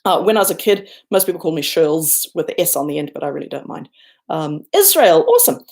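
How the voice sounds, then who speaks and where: Gravelly-voiced New Zealand woman chatting live. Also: sing-song, fast, a little mellow. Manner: chatty and self-deprecating, bursts up high when thanking viewers. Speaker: YouTuber hosting a livestream Q&A. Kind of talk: casual livestream chat reading viewer comments. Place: home, looking out over a garden and lake.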